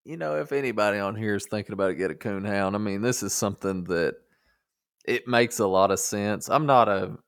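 A man's voice: on the low side, fairly quick, clear, resonant tone. A man co-hosting a podcast, chatting casually in a folksy, conversational way. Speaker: podcast co-host. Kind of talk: casual chat.

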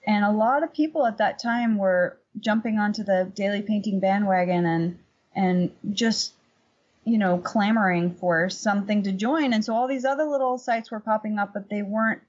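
Husky woman, slightly dull recording. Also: mid-range voice, normal speed. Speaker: podcast guest, painter and workshop teacher. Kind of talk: casual conversational interview answers.

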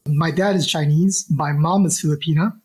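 Man, husky voice, halting answers, lots of restarts. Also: on the high side, fairly even intonation, a little mellow. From Singapore. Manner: halting, many restarts and fillers. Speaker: archaeologist guest. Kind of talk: interview answers.

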